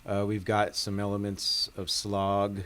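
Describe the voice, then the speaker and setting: A man in a band giving a casual interview, halting and tongue-in-cheek. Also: lowish voice, monotone, a bit bright, slight background noise. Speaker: band member being interviewed.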